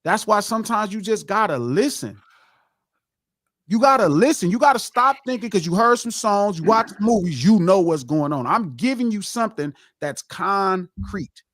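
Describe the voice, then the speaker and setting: A man with a high voice that swings widely in pitch, hammering home his point in a heated podcast debate. He talks emphatically, like a preacher, and repeats lines for weight. The sound is close and dry, with no room around it. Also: lively intonation, normal speed, fairly bright tone, no echo. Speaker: podcast host.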